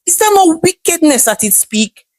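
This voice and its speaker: A distressed young Nigerian wife in a folktale, voiced by a woman narrator. Her pitch is high and rises with feeling as she pleads and reproaches. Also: big pitch swings, normal speed, no room sound, studio quality. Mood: hurt, accusing.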